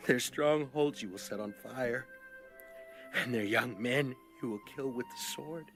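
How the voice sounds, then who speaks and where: An actor playing a grave, sorrowful prophet in a dramatized scripture audiobook. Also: male voice, mid-range voice, unhurried, fairly bright tone, soft background music. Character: grave, sorrowful male prophet of ancient Israel.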